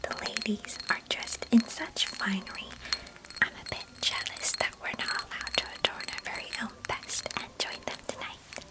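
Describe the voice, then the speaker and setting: A woman voicing a chatty, mischievous and affectionate young girl cousin in an ASMR roleplay, in a breathy, intimate whisper close to the mic. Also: moderate pace, close to an everyday voice, rough recording. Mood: confiding, wistful. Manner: gentle, chatty, storybook-like old-fashioned phrasing. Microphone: close microphone.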